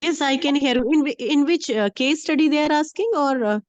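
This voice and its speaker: Hoarse woman lecturing over a call. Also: high-pitched, fairly quick, no echo, dull audio. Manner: hesitant, many fillers. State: hoarse from a heavy cold. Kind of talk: online lecture with Q&A. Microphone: video call.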